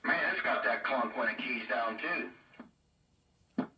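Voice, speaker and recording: A man's voice: medium pitch, slow pace, pushed and bright, far from the mic. A man chatting casually about radio gear in a folksy, colloquial drawl. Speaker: CB radio hobbyist. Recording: echo-effected, roomy sound from off mic.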